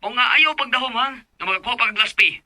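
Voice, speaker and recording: Sharp, high-voiced bullying boss. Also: male voice, fast talker, no room sound, exaggerated voice. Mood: scornful. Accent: Cebuano Filipino. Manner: mocking, curt, confrontational. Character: scornful, bullying male office manager. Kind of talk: Bisaya radio drama acting.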